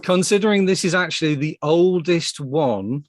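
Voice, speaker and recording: A man's voice: on the high side, normal speed, no room sound, clean studio sound. A man hosting a casual panel podcast, steering the discussion between the panellists. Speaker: podcast host.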